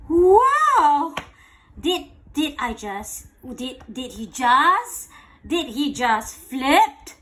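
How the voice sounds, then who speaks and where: A woman reading a picture book aloud to a child in an animated way, pausing to ask questions and repeating phrases. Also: sits high. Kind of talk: interactive bedtime read-aloud.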